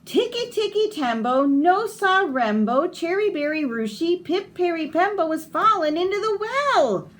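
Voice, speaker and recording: A breathless little boy in a storybook, pleading over and over in a high, swooping voice, as a woman reading aloud puts him on. He sounds frantic and earnest, his pitch leaping about as he begs. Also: very animated, a little slow, few pauses, heavily acted. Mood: urgent pleading.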